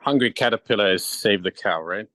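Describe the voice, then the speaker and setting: A man's voice: on the low side, fairly quick, sharp tone, no echo. A man from England with an English accent, an online teacher chatting casually in a group discussion. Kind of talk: casual chat.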